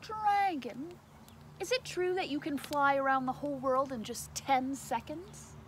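A woman's voice: high voice, unhurried, slight background noise. A woman voicing a bold, clever young princess from a storybook, emphatic and exclaiming. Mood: excited flattery.

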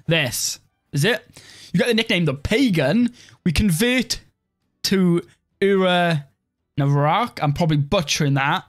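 A young man from Wales, casually commentating his strategy game live and chatting with viewers, rambling and filling his talk with "like.". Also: fairly high pitch. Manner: relaxed, rambling, heavy use of "like". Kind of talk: live-stream gameplay commentary, reading chat.

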